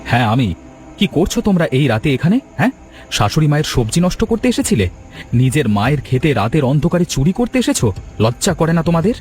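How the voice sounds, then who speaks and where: A man's voice: a little high, big pitch swings, a little fast, a little mellow, a little hiss or hum. A man voicing a righteous, caring son-in-law in a cartoon drama, with an emphatic, moralising delivery. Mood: stern, accusing. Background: prominent music.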